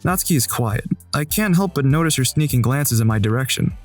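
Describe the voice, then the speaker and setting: Man doing an awkward schoolboy narrator, mid pitch, wry inner monologue, faint music. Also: expressive, a little fast, lightly acted. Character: awkward teenage schoolboy narrating his thoughts. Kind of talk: fan dub of a visual novel.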